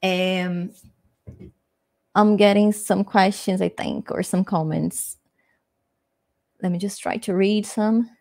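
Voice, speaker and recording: Young Brazilian woman, friendly live-stream teacher, halting English with fillers and restarts. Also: mid-range voice, fairly even intonation, vocal fry, a little mellow. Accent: Brazilian Portuguese. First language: Portuguese. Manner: hesitant, many ums and restarts, friendly. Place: small room at home, indoors. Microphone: webcam framing, desk mic.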